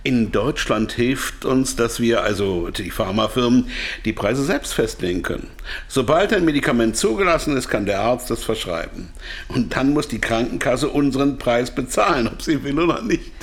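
An actor playing a captive pharmaceutical manager, hesitant and anxious to comply, in a German radio drama. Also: male voice, medium pitch, lively intonation, moderate pace, natural voice. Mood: explaining.

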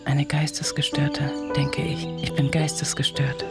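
An actress playing a battered wife near forty, secretly in love, intimate and confessional in a radio drama. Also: female voice, deep voice, monotone, measured pace, vocal fry. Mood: reflective, intimate. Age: around forty. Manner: intimate, confessional, emotionally charged. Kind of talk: German literary radio drama acting. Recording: music behind the voice.

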